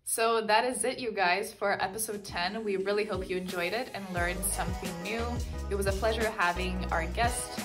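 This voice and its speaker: Co-host outro: woman, mid pitch, bright sign-off, music bed behind. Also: fairly even intonation, normal speed, few pauses. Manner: upbeat, addresses listeners. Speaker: podcast co-host.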